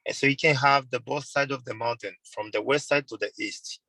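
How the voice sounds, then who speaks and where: A man's voice: medium pitch, a little fast, vocal fry, pushed and bright, no room sound, poor audio. A man in his thirties from Tanzania, a mountain guide, answering interview questions on a video call in earnest, simple phrasing, often repeating and correcting himself. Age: 30s. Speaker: mountain guide and tour company owner.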